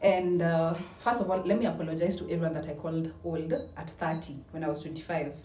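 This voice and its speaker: A woman's voice: low-pitched, steady tone, a little fast, warm tone, a little hiss or hum. A woman, chatting casually and leading a discussion in a house. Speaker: content creator posing questions. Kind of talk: casual chat. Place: indoors in a house. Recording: roomy echo, distant mic, faint music. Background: faint music.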